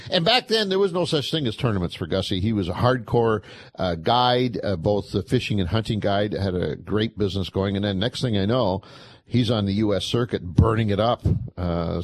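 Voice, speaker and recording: A man's voice: lowish voice, no echo. A Canadian man hosting a radio interview, chatty and enthusiastic, with many fillers. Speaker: radio host.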